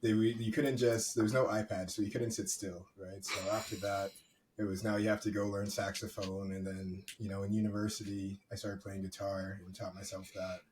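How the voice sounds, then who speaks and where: A man, a guest in a casual interview, talking in a relaxed, rambling way with many fillers. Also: lowish voice, moderate pace. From Canada. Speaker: guest, music executive. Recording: distant mic with faint background noise.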